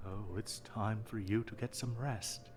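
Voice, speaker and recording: A man's voice: lowish voice, fairly even intonation, music in the background, close to an everyday voice. A man playing a possessive yandere prince in a story game, telling a bedtime story in a tender, controlling manner. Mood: gentle, controlling. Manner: slow, tender, controlling delivery. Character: possessive yandere prince, soft-spoken and menacingly tender lover. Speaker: game voice actor.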